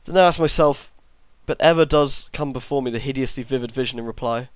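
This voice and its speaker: Male amateur narrator, mid-pitched, horror story reading, dry sound, occasional stumbles on long words. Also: fairly quick, clear, resonant tone, no room sound. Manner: reads with stumbles over long words, self-correcting.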